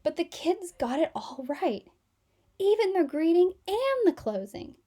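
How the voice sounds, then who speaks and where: A woman reading a children's book aloud as an expressive, scripted narrator. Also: very high voice, a little slow. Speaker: children's story reader.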